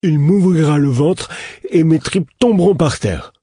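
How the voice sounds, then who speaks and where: Give me a childlike, frightened little man, voiced by a male narrator, shrill and strained with fear, in a close, dry audiobook read with a slightly husky edge. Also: lively intonation, measured pace, mellow, no echo. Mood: terrified. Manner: high, strained, terrified pleading. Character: frightened simple-minded small man, childlike.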